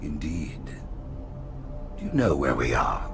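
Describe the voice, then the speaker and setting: An actor playing a regal, scheming old alien prophet who speaks in stately, formal pronouncements in a video game. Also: male voice, extremely low pitch, sing-song, slow pace, gravelly, exaggerated voice. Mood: solemn, grave. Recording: loud orchestral game music behind.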